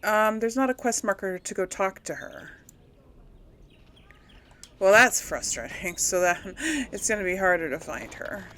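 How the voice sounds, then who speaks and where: A woman's voice: mid-range voice, a little slow, pauses often, a bit bright. A woman hosting a casual let's play, musing aloud informally and hesitantly as she talks and role-plays through a game. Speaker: gaming video host.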